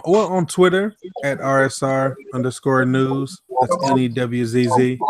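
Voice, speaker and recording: A man hosting a casual boxing podcast, laid-back and tipsy, talking in a slow, mellow way. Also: medium pitch, dry recording. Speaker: podcast host. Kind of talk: casual chat.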